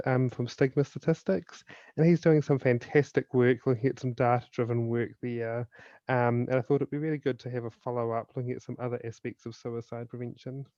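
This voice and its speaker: New Zealand man, mellow, dull sound. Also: medium pitch, no echo. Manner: earnest, measured, informative. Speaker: guest, suicide prevention speaker and author. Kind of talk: podcast interview, explanatory.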